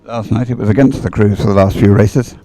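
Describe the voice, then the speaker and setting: A man's voice: fairly low pitch, steady tone, a little fast, warm tone, dry recording. A man giving unscripted live sports commentary in a hesitant, rambling, conversational way. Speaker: race commentator. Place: outdoors beside the water at a regatta.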